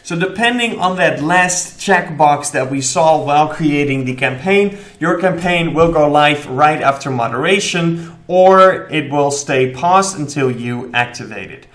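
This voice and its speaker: A man's voice: fairly high pitch, a little slow, a bit bright. A young man walking through ad campaign settings in a screen-recorded tutorial, explaining each option step by step with frequent "okay" check-ins. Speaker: affiliate marketing instructor. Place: indoor room at a desk.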